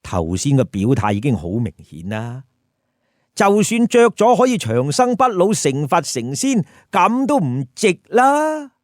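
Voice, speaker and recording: Male storyteller, lively swings in pitch, unhurried delivery, chatty comic commentary with rhetorical questions. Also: dry recording, clean studio sound. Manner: colloquial, rhetorical questions to listeners. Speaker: storyteller, host.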